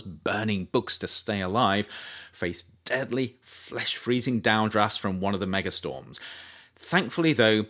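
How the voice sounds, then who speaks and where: A man reading his own nonfiction book aloud as an audiobook, with measured delivery and emphatic rises on key phrases. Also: lowish voice, a bit bright. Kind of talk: audiobook narration.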